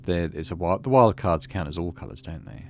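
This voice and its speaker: A man's voice: deep voice, big pitch swings, brisk, gravelly. A British man hosting a gaming playthrough, reading game text aloud and chatting casually about it. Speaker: gaming channel host.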